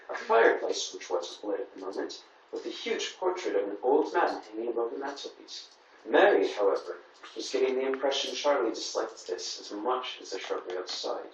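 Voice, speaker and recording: A man's voice: mid-range voice, dull audio. A man reading a story aloud as narrator at an amateur dramatic reading. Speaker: amateur reader at writers' society. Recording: echoey room, distant mic, faint noise.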